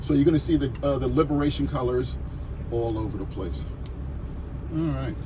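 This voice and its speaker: Male New tour guide, teasing and talkative, working over loud street traffic. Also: medium pitch, normal speed. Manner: chatty, teasing, asks the group questions. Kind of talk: guided city tour commentary. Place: outdoors on a moving tour vehicle in a city.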